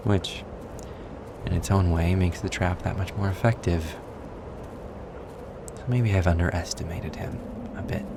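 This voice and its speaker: A man playing a snarky, weary, well-spoken demon guide in an intimate audio roleplay, with a husky, gravelly voice and a dry, wordy manner. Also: deep voice, very noisy. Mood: bitter, brooding. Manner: sarcastic, wordy, dry, deliberate. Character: snarky, weary, erudite male demon guide trapped in hell.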